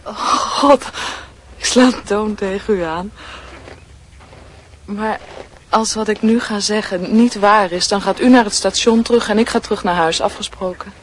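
A woman's voice: medium pitch, normal speed, close to an everyday voice. Forthright young woman played by an actress, noisy recording. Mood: bold, teasing. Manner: blunt, teasing, insistent. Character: forthright young woman pressing her suitor into engagement. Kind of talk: Dutch radio drama acting. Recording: radio play with clear background noise.

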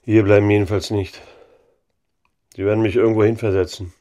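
A man's voice: on the low side, level tone, a little fast, dark tone, no echo, lo-fi sound, natural voice. A man acting as a disgraced, resigned policeman in a German radio drama, speaking earnestly. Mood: resigned, urgent. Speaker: actor.

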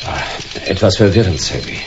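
An actor playing a laconic hard-boiled private detective in a German radio drama, dry and clipped. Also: male voice, deep voice, animated, brisk, highs cut off, background noise. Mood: puzzled. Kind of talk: first-person noir narration and dialogue in radio drama.

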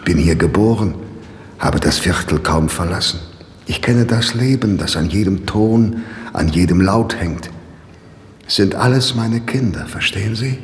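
Deep, slow, brooding imprisoned priest. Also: male voice. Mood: pensive. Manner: measured, pensive, deliberate. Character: imprisoned devout priest, gentle, brooding, awaiting execution. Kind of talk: 1960s German radio drama acting. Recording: reverberant, noisy old radio recording.

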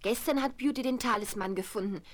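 A high, excitable little girl's voice acted by a woman from a seventies children's audio drama. She speaks with imaginative eagerness. The old vinyl adds faint noise and clicks. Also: a little fast, lightly acted. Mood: excited, certain. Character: excitable, imaginative young girl Flora. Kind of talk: 1970s German children's audio drama acting.

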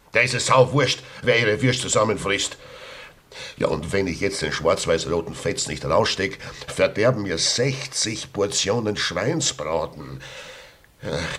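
A cornered, apologetic innkeeper in a radio play. The voice is deep and husky, with an earthy southern German accent and a flustered manner. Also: male voice, fairly bright tone, full character voice. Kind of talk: dialect radio play acting.

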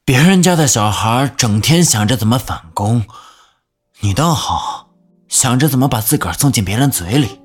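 A man's voice: medium pitch, lively intonation, normal speed, husky. A man acting a confident, teasing young lover in a romantic audio drama. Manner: teasing, self-assured. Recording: music bed under the voice.

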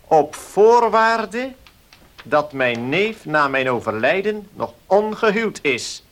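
An actor playing a formal notary in a Dutch radio play, speaking precisely and with measured care. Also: male voice, sits high, big pitch swings, a bit bright, heavily acted. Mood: solemn. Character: formal, measured male village notary reading a will.

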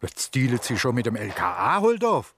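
Crafty grumbling inspector, radio drama, very lively intonation, noisy background. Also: male voice, mid-range voice, brisk. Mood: brooding, hurried. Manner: grumbling, blunt, colloquial. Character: gruff, quick-tempered, crafty older male police inspector.

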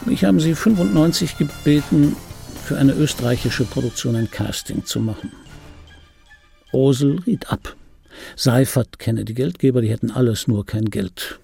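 An actor playing a wry, world-weary middle-aged film dubbing actor, reminiscing in a dry, laconic, intimate radio play monologue with a gravelly, husky voice. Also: male voice, medium pitch, normal speed, mellow, soft background music, natural voice. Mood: wry, reflective. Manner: dry, laconic, musing aloud. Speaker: radio play actor, first-person narrator.

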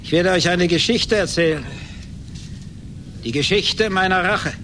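An actor in a radio play playing a vengeful, obsessed genius inventor who speaks haltingly and theatrically. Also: male voice, high-pitched, pushed and bright, slight background noise. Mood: gloating, obsessed. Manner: halting, theatrical, repeats words for emphasis.

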